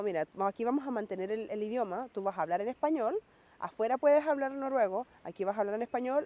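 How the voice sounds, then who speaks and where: A woman's voice: a little high, a little mellow. A woman raised in Norway, reflecting in a documentary interview and speeding up when she quotes her parents.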